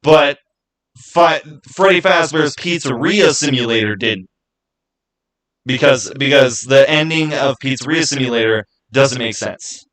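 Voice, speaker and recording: A man with a bright, forward voice hosts a casual livestream. He rambles and thinks aloud, speaking to viewers by name in a chatty way. His pitch sits in an ordinary middle range. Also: a little slow, rough recording. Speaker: livestream host. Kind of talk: casual livestream chat, answering viewer comments.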